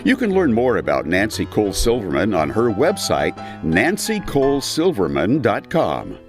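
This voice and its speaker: Animated low-voiced male announcer over guitar. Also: moderate pace. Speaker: podcast announcer. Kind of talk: scripted podcast credits announcement. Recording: guitar theme music under voice. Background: blues guitar theme music.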